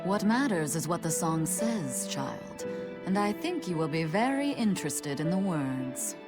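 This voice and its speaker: A woman's voice: sits low, very animated, measured pace, loud music behind the voice. An actress playing an elderly, teasing woman scholar in a video game, musing theatrically over her words. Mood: amused, knowing. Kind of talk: video game cutscene acting.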